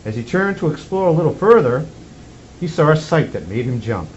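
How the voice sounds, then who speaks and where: A man playing Santa Claus reads a children's storybook aloud in an expressive, measured way. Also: fairly high pitch. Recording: muffled lo-fi sound with faint background noise.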